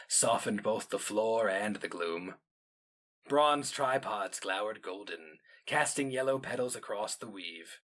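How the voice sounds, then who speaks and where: A man narrating a fantasy story as a dramatic reading, solemn, deliberate and theatrical. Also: fairly low pitch, bright, forceful tone.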